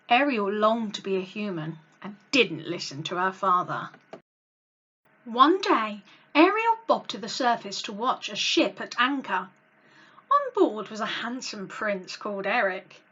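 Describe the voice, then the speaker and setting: A woman reading a children's story aloud as host, with a dramatic, expressive read-along delivery. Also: a little high, measured pace, dull audio. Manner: dramatic, animated delivery for young listeners.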